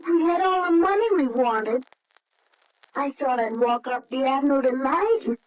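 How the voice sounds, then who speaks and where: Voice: high-pitched, a little slow, highs cut off. A performer playing an earnest, anxious young boy in a radio drama, hesitant and pleading, on an old recording. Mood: shy, hopeful.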